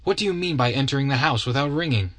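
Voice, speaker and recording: A proud young society woman, flustered and pleading, her voice pushed up high and lively, as a male narrator puts her on. She stammers between phrases. The sound is close and dry. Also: fast talker, no echo. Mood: angry.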